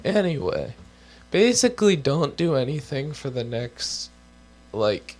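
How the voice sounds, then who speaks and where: A man's voice: mid-range voice, very animated, unhurried, a little mellow. A young man from the United States on a casual co-hosted show, reading health guidelines aloud with offhand joking asides, and he is losing his voice. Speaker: co-host.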